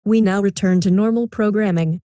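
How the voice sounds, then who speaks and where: A serious TV news announcer, played by a woman, reads a scripted bulletin. Her voice is mellow and mid-pitched, formal and composed, with a very dry studio-like sound. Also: steady tone, normal speed, no echo, natural voice.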